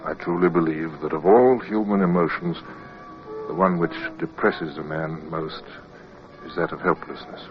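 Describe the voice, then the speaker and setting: Young British naval officer male actor, lowish voice, deferential yet persistent, old radio drama with muffled, hissy sound. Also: steady tone, normal speed, over loud music, natural voice. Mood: grave reflection. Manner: hesitant, respectful, formal. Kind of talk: radio drama acting with first-person narration.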